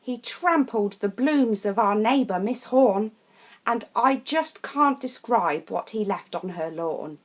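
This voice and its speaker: A woman reads a rhyming children's picture book in a theatrical, deliberate and emphatic way. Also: fairly high pitch, animated. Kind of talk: expressive rhyming storybook narration.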